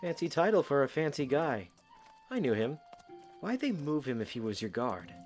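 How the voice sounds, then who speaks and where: Actor as a lively-pitched, devoted young knight. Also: male voice, normal speed, faint music behind, natural voice. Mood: dry teasing. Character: young male knight, devoted, modest, gently teasing. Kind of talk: fan audio drama acting.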